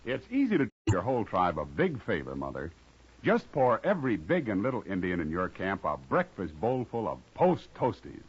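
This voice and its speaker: An American man announcing a 1950s radio show and pitching its sponsor, emphatic and punchy, with some huskiness. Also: wildly swooping pitch, normal speed, breathy edge. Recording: dull lo-fi old radio, faint music.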